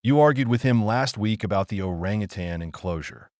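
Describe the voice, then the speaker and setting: A man's voice: on the low side, lively intonation, a little fast, darkish tone, dry recording, clean studio sound, natural voice. Man voicing a blunt, sardonic working woman. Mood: combative. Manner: blunt, combative. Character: blunt, sardonic female zoo worker.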